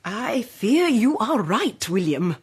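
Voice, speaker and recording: An actress playing a haughty, prim English duchess who speaks with formal gentility in a radio comedy-drama. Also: female voice, a little high, very animated, takes it slowly. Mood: prim, reluctant. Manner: haughty, formal, primly genteel.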